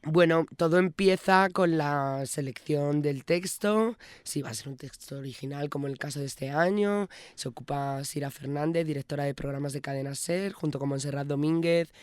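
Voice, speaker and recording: A woman's voice: low voice, normal speed, hardly any pauses, a little mellow, clean tone, dry recording. A woman with a Castilian Spanish accent, answering informally as an audio director being interviewed. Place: radio studio.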